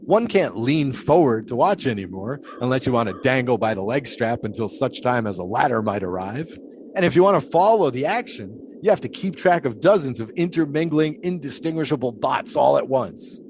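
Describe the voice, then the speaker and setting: An actor playing a pious, philosophical 1920s flagpole sitter who talks in a folksy, preachy way. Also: male voice, medium pitch, expressive, normal speed, a little mellow, slight background noise, close to an everyday voice. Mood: musing. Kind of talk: audio drama acting.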